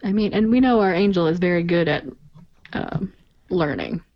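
A woman's voice: fairly low pitch, lively intonation, normal speed. Wry woman co-host, dull lo-fi sound. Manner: wry, laughing asides. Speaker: podcast co-host. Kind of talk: casual fan discussion chat.

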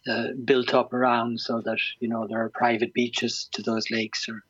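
A man's voice: mid-range voice, monotone, moderate pace, a bit bright, husky, no echo, lo-fi sound. A man in his sixties with an Irish accent, an interview guest talking casually with many ums and restarts. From Ireland. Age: 60s. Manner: hesitant, lots of ums and restarts.

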